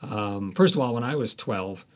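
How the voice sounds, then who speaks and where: Male author in interview, fairly low voice, conversational and thoughtful, halting delivery. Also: lively intonation. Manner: halting, deliberate, pausing often. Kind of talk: reflective conversational explanation.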